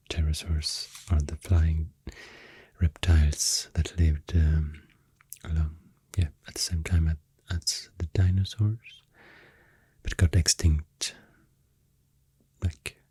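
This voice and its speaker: A woman with a Swedish accent doing soft-spoken ASMR rambling, breathy and whispery, hesitant and unhurried, close to the microphone. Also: extremely low pitch, level tone, no echo. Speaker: ASMR artist. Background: soft pencil sounds.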